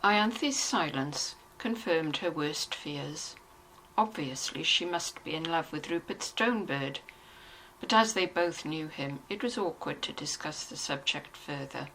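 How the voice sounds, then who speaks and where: A woman's voice: deep voice, animated, a little slow, a bit bright, slight background noise, poor audio. A woman reading scripted literary narration as the narrator of a dramatized novel.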